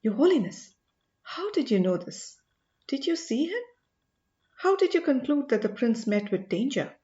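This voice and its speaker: A woman's voice: high voice, big pitch swings, normal speed, mellow, echoey room, dull audio, pitched above the performer's own voice. A woman voicing a respectful but wary man in an audiobook, deferential yet insistent in tone. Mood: insistent questioning. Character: respectful, wary man questioning his holy elder. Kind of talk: audiobook character dialogue.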